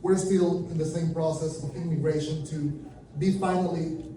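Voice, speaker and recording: A Venezuelan man in his thirties, Spanish his first language, speaks with a clear accent in a high, dark-toned voice. He gives a chatty, nervous talk on a stage. The sound bounces around a large, very echoey room, picked up from a distance with faint noise. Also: steady tone, moderate pace. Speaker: conference speaker. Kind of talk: live stage presentation. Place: large room with big windows, before an audience. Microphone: handheld mic, filmed by a far camera.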